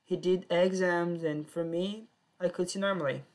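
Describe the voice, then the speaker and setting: A woman telling her own life story in plain, simple sentences with short pauses. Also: lowish voice, fairly even intonation, a little mellow. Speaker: personal storyteller. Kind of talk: first-person life story.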